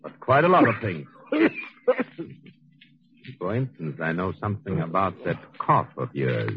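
An actor playing a cold, arrogant intellectual murderer in a 1940s radio thriller, speaking in a pompous, measured, condescending way. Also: male voice, deep voice, very animated, exaggerated voice. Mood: sinister, insinuating. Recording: dull 1940s radio recording.

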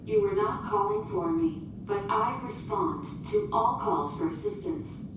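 A woman's voice: sing-song, measured pace. A woman playing a polite, unemotional shipboard AI in a sci-fi audio drama, speaking in formal, precise, procedural phrases. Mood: polite, detached. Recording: distant, echoing, noisy with rumble.

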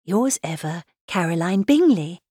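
A woman's voice: on the low side, very animated, unhurried, warm tone, slightly rough, no echo, studio quality, heavily acted. A woman voicing a genteel young society lady, arch and formal, in a letter read aloud from a classic novel. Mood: affected, sociable. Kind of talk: dramatised classic novel reading.

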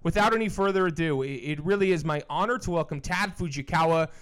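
A male podcast host with a voice that sits a little high. He talks in a conversational way, as in an introduction or interview. His manner is appreciative and enthusiastic. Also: quick pace.

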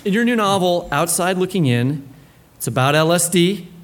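Male podcast co-host, slightly high voice, interviewing live on stage. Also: animated, normal speed. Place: live book fair stage.

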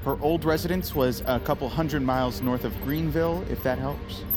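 An actor playing an earnest, inquisitive institute employee in an audio drama, eager but halting in his speech. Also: mid-range voice, over music, close to an everyday voice. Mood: eager focused.

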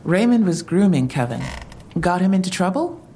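An actress playing a wry, probing police detective in an audio drama, matter-of-fact and questioning. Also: female voice, on the low side, big pitch swings, normal speed, warm tone, a little hiss or hum.

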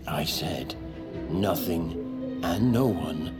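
An actor playing a stern, obsessed expedition leader in an audio drama, commanding and intense. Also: male voice, low-pitched, expressive, extremely slow, loud music behind the voice, full character voice. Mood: obsessed, menacing. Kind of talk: audio fiction drama acting.

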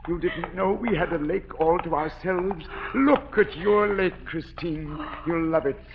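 A man's voice: high voice, unhurried. An actor playing a deranged, lovesick masked man of the cellars, coaxing and obsessive, in 1940s radio drama style. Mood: dreamy, rapt. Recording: dull, noisy 1940s radio broadcast.

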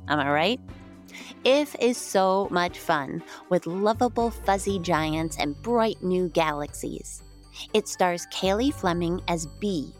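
A woman with a slightly low voice presents a kids' podcast ad. She talks in a playful, chatty way, as if confiding in young listeners, with faint music behind her. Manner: playful, conversational, addressing kids. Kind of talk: scripted chatty children's podcast ad.

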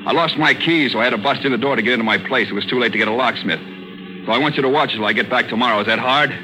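A quick-talking, cocky American man of shady business , played by an actor in 1940s radio noir. His voice is medium in pitch, brusque and mocking as he hands out orders. It sounds like an old broadcast. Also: music in the background, natural voice, rough recording. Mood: urgent, brisk. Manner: brisk, bossy, mocking.

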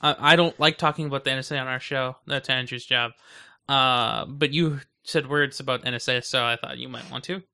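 A man's voice: mid-range voice, fairly even intonation, brisk, sharp tone, clear, resonant tone. A man co-hosting a podcast in casual, conversational back-and-forth. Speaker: podcast co-host. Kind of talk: casual chat.

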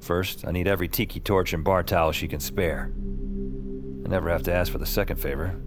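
A man's voice: low voice, a little fast, music in the background, natural voice. An actor playing a wry, world-weary adventurer who narrates his own story in an audio drama with slangy lines. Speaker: narrator and lead character. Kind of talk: first-person scripted narration in an audio drama.

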